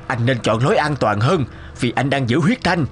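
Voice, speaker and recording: A man's voice: mid-range voice, lively intonation, moderate pace, soft background music, close to an everyday voice. Man playing an alarmed, cautious cartoon courier. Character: worried, cautious male serum courier. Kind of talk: animated cartoon dubbing.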